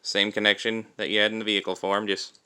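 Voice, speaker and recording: A man's voice: lowish voice, flat delivery, fast, sharp tone, clean tone. A man talking informally through a hands-on toy review, explaining things step by step. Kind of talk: casual hands-on product review.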